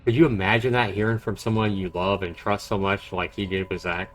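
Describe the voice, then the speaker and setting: Low-voiced male game streamer. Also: fairly even intonation, fairly quick, a little hiss or hum. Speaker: livestreamer playing and narrating a game. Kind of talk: dramatic read-aloud of visual novel with casual commentary.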